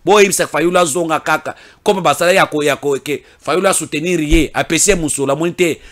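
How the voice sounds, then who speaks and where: A high-voiced man from DR Congo, speaking as a political commentator. His delivery is emphatic, with repeated words and phrases and loud declarations that give way to low, muttered asides.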